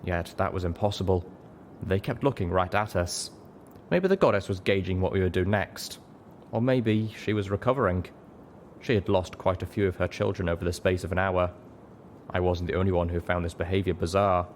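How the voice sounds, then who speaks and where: A man's voice: low-pitched, fairly quick, slight background noise. A man reading first-person fiction aloud as scripted audiobook narration. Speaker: audiobook reader.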